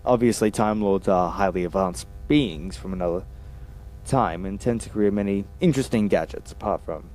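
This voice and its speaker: Man acting low-voiced, knowing secret agent. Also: moderate pace, a little mellow, soft background music, close to an everyday voice. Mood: confident. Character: brisk, knowing male alien secret agent. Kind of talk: audio drama acting.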